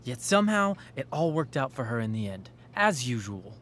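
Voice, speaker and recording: A man's voice: mid-range voice, very animated, moderate pace, a bit bright, slight background noise. A man playing an obsessive, self-righteous teenage boy in a video game, insistent and earnest. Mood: accusing. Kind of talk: dramatic video game voice acting.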